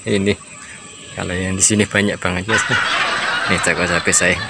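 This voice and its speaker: A man's voice: low voice, little pitch movement, brisk, gravelly, very noisy. A man narrating a walking tour to viewers in a casual, chatty way, filmed on a handheld phone outdoors. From Indonesia. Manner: chatty, addresses viewers as guys. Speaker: travel vlogger. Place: outdoors at a park by a reservoir. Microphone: handheld phone camera. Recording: loud outdoor ambience.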